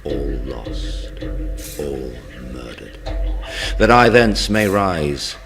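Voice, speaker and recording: Very deep, grandiose tyrant. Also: male voice, sing-song, extremely slow, gravelly, exaggerated voice. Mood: grandiose, menacing. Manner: declamatory, grandiose. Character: ruthless tyrant gloating over his murderous rise. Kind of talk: theatrical classical verse acting. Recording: loud music under the voice.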